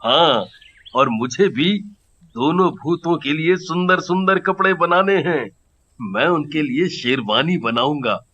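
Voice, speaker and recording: Guy doing a kindly cartoon tailor dad, bright forceful voice, takes his time, earnest, slightly dull audio. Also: on the high side, expressive, slow pace, exaggerated voice. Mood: earnest, grateful. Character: humble, earnest married tailor, a kindly father. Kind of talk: Hindi cartoon story dialogue.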